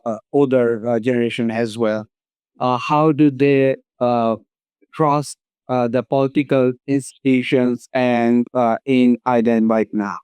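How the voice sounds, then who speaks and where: A man with a dark, smooth voice puts a formal question from an audience. He goes hesitantly, pausing often, in a dry recording. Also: mid-range voice, no room sound. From Bangladesh. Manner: hesitant, broken by pauses.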